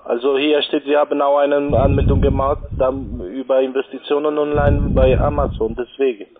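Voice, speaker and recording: A man's voice: medium pitch, flat delivery, moderate pace. Foreign-accented man on noisy phone line. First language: not German. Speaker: phone scam caller. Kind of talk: phone sales call.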